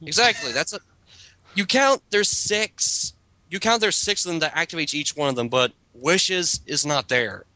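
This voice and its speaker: A man's voice: on the high side, moderate pace, pushed and bright, no room sound. An exasperated man reading aloud and commenting casually in a group chat, swearing now and then.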